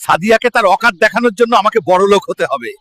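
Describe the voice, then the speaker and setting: A man voicing a brash, vengeful, money-hungry Hulk in a cartoon, loud and declamatory. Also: high voice, lively intonation, fast, a little hiss or hum. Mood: pleading, emphatic. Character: brash, vengeful, cash-hungry male Hulk, a jilted underdog. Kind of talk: exaggerated cartoon story dialogue.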